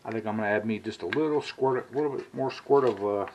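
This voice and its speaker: A man's voice: lowish voice, fast, a little mellow, slight background noise, poor audio. A middle-aged man, rambling in a folksy way as he cooks and talks in his home kitchen. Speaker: cooking vlogger. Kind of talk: casual cooking vlog.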